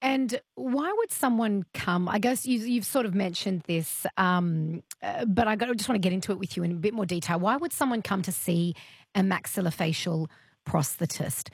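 A woman's voice: mid-range voice, slightly rough, no echo, clean studio sound. A woman hosting an interview, asking curious, rambling questions. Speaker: interview host. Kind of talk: interviewing a guest.

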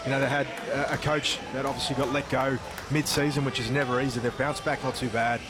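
Fast-talking former player. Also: male voice, mid-range voice, little pitch movement. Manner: rapid, halting with repeated words. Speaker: expert commentator, former player. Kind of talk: sports commentary.

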